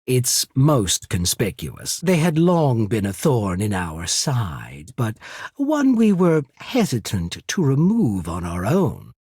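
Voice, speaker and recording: A man voicing a shrewd, courteous trading company secretary in a video game, speaking formally and diplomatically with subtle disdain and a husky voice. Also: medium pitch, wildly swooping pitch, slow pace, a little mellow, dry recording, studio quality, exaggerated voice. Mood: wry, disdainful, then courteous, measured. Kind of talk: video game character voice acting.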